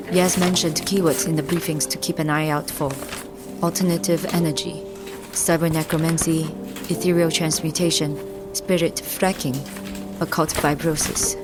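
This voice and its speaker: A woman playing a cool, focused young infiltrator with secret powers, narrating an audio drama in a measured, matter-of-fact way. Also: low voice, lightly acted. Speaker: narrator and lead character.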